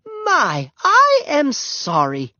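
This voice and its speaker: A man voicing a fussy, apologetic older woman neighbor who gushes in high, drawn-out exclamations. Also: huge pitch swings, sharp tone, no echo, heavily acted. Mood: gushing. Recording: dull lo-fi dry recording.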